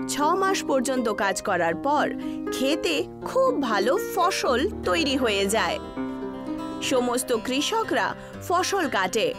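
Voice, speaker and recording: Female narrator for animated folk tale: high, animated, expressive storytelling over music. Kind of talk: scripted storybook narration for a cartoon. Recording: music behind the voice. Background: background music.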